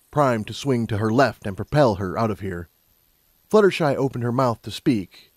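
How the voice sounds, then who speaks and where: A man reading scripted fiction aloud as an expressive story narrator. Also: medium pitch, dry recording.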